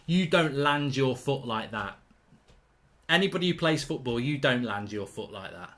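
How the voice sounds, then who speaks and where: A man hosts a live football watchalong in a blunt, conversational way, reading viewer messages between reactions to the play. His voice sits in an ordinary middle pitch. He sounds indignant when he swears mildly about the refereeing. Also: animated, moderate pace, a bit bright.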